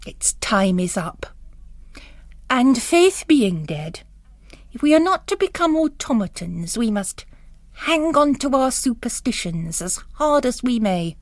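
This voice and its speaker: A woman as a weary, sardonic priest, slow-spoken. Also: fairly high pitch, very animated, dry recording. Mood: solemn resolve. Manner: grave, wry.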